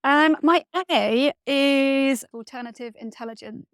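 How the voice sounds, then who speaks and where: A woman speaking as a guest author in a reflective interview, thoughtful and often pausing to search for her words. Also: sits high, fairly bright tone, no room sound, studio quality.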